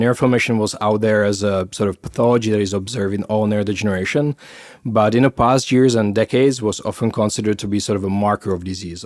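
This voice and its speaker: A man's voice: lowish voice, darkish tone. A middle-aged man from the Czech Republic with a Czech accent, explaining science in a podcast interview with many fillers and restarts. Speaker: biotech founder.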